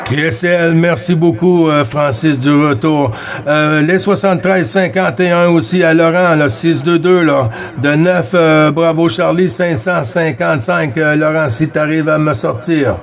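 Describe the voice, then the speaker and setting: A man's voice: on the high side, a little slow. A man with a Québécois French accent, chatting casually as a CB radio operator in a hesitant, courteous, repetitive way. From Quebec, Canada. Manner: hesitant, courteous, repeats himself. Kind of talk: casual radio contact chat.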